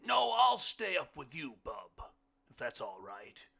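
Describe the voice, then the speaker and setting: A man's voice: on the high side, wildly swooping pitch, slow, vocal fry, bright, forceful tone, husky, pitched above the performer's own voice, exaggerated voice. A man voicing an easygoing, curious, bearded blind houseguest in an audiobook, with an emphatic, folksy way of talking. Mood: genial. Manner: emphatic, folksy, calls listener bub. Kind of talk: audiobook character voice.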